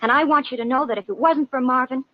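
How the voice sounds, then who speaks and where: Actress doing a high pitched worried young gal, melodramatic n pleading, old muffled radio show. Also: female voice, fast, no room sound, close to an everyday voice. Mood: indignant, fond. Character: anxious, melodramatic, lovestruck young woman client. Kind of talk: 1950s radio drama acting. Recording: muffled vintage radio broadcast.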